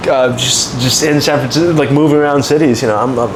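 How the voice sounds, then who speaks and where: A man's voice: mid-range voice, steady tone, fast. A man in his twenties from Canada, hosting a casual podcast chat, hesitant and full of fillers, laughing often. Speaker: podcast host. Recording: noisy room with air conditioning hum.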